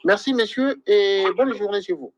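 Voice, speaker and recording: A male journalist hosts and wraps up a news interview in a formal manner. He fills his pauses with "euh". His voice sits a little high, and the sound is muffled and lo-fi. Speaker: journalist host.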